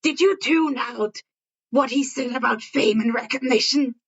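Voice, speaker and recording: A woman's voice: on the high side, unhurried, breathy edge, highs cut off. A woman voicing an angry, foul-mouthed teenage boy who barks and scolds, in an amateur story reading. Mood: furious, exasperated. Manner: barking, scolding, sweary.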